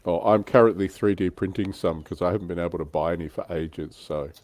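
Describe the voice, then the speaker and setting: A man's voice: sits low, fairly quick, a little mellow. A man hosting a livestream, chatting casually and in a self-deprecating way about his tabletop wargaming hobby. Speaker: livestream host.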